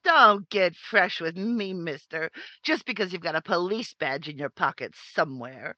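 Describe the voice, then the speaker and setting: A woman's voice: on the low side, lively intonation, normal speed, bright, forceful tone, no room sound, muffled recording. A woman playing a hard, defiant older crime boss in a 1950s-style radio drama, snapping and combative. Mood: indignant.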